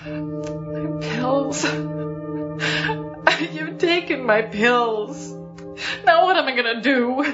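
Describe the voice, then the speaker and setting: A woman's voice: sits high, very animated, extremely slow, long pauses, lo-fi sound, heavily acted. An actress playing a lonely, world-weary woman seeking comfort, in a melodramatic audio drama style. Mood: forlorn. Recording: band-limited audio drama with music underneath.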